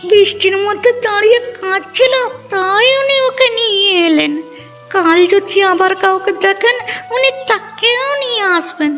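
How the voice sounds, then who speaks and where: A woman's voice: extremely high pitch, moderate pace, a bit bright. A woman voicing a sarcastic, grumbling village wife in a cartoon story, nagging, in dull sound with music. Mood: sarcastic, annoyed.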